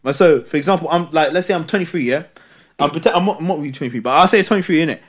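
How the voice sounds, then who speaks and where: A young man in his twenties, a podcast co-host chatting casually and loudly, laughing often and repeating fillers. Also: a little high, rapid-fire. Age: 20s. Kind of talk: casual chat.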